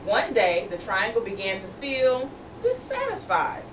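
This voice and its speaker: A woman's voice: mid-range voice, huge pitch swings, unhurried. A woman teacher reading a picture book to young children, encouragingly asking questions and repeating their answers back. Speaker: teacher reading aloud to second graders. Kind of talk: interactive storybook read-aloud with questions. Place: room with a group of children. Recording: distant, echoey, noisy room recording.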